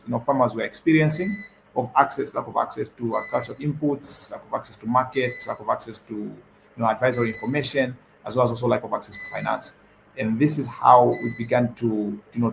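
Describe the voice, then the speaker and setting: Young man, mid-pitched, gravelly, hesitant panel guest with many fillers. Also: a little hiss or hum, low-quality recording. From Zambia. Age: young adult. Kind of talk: panel discussion answer.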